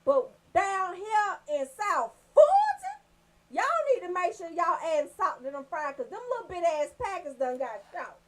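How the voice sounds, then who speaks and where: Woman radio host, high voice all over the place, brash casual funny ranting, cusses a lot. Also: unhurried. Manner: brash, profane, animated storytelling. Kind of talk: casual comedic rant on radio show.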